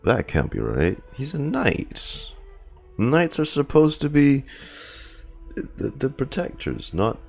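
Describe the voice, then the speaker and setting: A man streaming casual reaction commentary on a game story, talking in a slangy, halting, repetitive way. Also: mid-range voice, huge pitch swings, vocal fry, darkish tone, faint music behind.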